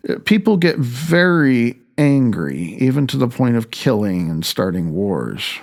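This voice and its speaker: A man narrating his own audiobook in a candid, wry way, loosely phrased with asides, halting and then rushing ahead. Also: medium pitch, creaky. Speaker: author reading own audiobook.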